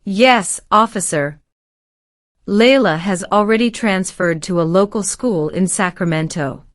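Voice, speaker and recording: A woman's voice: medium pitch, no echo. Polite woman from Syria, dry sound. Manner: polite, formal, measured replies. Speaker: citizenship applicant. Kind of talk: scripted interview answers.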